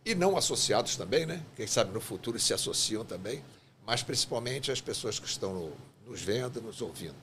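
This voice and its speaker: A man's voice: medium pitch, animated, fairly quick, a bit bright, a little hiss or hum. A man hosting a podcast interview, introducing his guest in long, rambling sentences with hesitations. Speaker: podcast host, association president.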